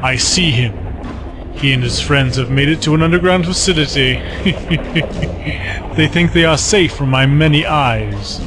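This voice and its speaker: A man's voice: on the high side, music in the background, close to an everyday voice. A man playing a gloating villain mastermind. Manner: commanding, gloating, measured. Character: cold scheming male villain mastermind. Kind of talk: audio drama acting.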